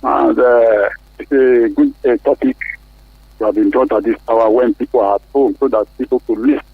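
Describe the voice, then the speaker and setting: A man's voice: medium pitch, steady tone, moderate pace, gravelly, slightly rough, no echo. A man with a Nigerian accent calling a radio show by phone, giving his opinion earnestly in slightly formal wording. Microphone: telephone. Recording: muffled, narrow tinny phone line.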